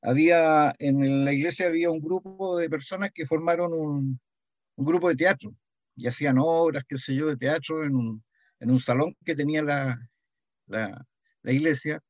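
Older man, Chilean accent, slightly high voice, conversational reminiscing, hesitant with repeated words, dull dry recording. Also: moderate pace, dry recording. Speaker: interviewee, heritage association founding member.

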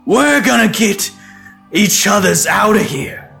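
Fat pig prisoner, squeaky, gravelly, oinking, faint music behind. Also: male voice, expressive, slow, pushed and bright, exaggerated voice. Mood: reassuring. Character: fat male pig prisoner, cheeky and matey. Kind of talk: storytelling podcast character voice.

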